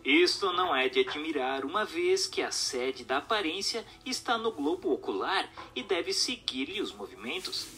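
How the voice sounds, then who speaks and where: A man delivers scripted narration in a bright, forceful voice that sits a little high. His intonation moves widely while his phrasing stays formal and instructive. Faint music plays underneath. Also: very animated, moderate pace, hardly any pauses. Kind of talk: scripted narration, reading an expository text.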